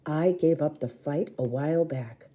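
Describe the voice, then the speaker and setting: A woman reading a children's book aloud in an expressive, theatrical way, acting out the dialogue. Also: low voice, measured pace. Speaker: storybook reader.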